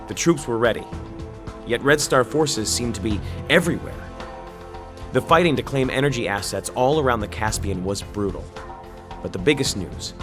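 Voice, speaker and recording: A man playing a wry war reporter who tells his story gravely in a video game cutscene. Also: lowish voice, close to an everyday voice. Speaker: embedded war reporter and narrator. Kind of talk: dramatic game cutscene narration and dialogue. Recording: music behind the voice.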